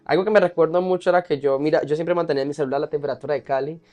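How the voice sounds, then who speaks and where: A man's voice: a little high, fairly even intonation, very fast, darkish tone, soft background music. A man with a Colombian accent telling his personal story casually and candidly as an interview guest. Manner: candid, often laughing as he talks.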